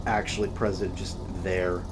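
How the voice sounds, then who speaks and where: A teenage boy co-hosting a casual chat, hesitant with dry deadpan quips. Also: deep voice, normal speed. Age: teens. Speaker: co-host. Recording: noisy background.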